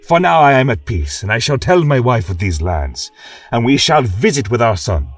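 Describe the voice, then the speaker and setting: A horned fantasy veteran, voiced by a man, gentle and reflective, speaks his journal in a husky, earnest voice. The pitch moves expressively through deliberate phrases. He sounds a little far from the mic, with music underneath. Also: big pitch swings, no echo. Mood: hopeful. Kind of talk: scripted reading of a journal. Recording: distant mic with music behind.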